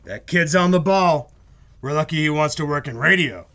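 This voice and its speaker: A man acting an excitable, theatrical 1940s radio producer in a hammy, emphatic comedy style. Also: a little high, normal speed. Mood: confiding, jovial. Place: live stage performance in a bookstore.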